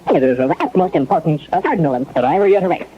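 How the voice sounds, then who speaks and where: An actor playing a grave doctor reading a formal emergency statement in a radio drama, stiff, emphatic and slightly halting. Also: male voice, on the high side, expressive, breathy edge. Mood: grave, urgent. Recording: dull, noisy old radio recording.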